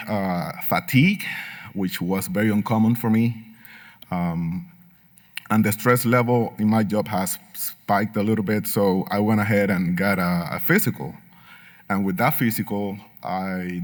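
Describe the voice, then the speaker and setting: A man with a fairly low voice and a marked Puerto Rican Spanish accent speaks to a conference audience. He is middle-aged and earnest, and he pads his sentences with many ums and uhs while slipping in light humor. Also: fairly even intonation, measured pace. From Puerto Rico. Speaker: patient speaker at a medical symposium. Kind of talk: conference talk.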